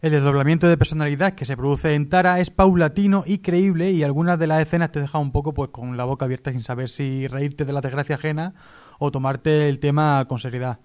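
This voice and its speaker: A man's voice: a little high, fairly even intonation, fairly quick, darkish tone. A man with a Spain accent casually reviewing a TV series as a radio presenter.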